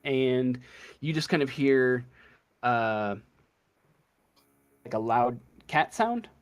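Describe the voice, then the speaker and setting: An unhurried man acts as game master in a tabletop role-playing game. He improvises the narration haltingly, thinking aloud at a slow pace in a mid-pitched voice.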